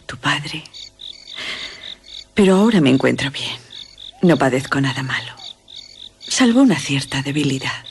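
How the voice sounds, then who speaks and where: An actress playing a languid, enigmatic young woman in a radio drama, speaking Castilian Spanish with breathy, passionate tenderness. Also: a bass voice, slight background noise. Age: young adult.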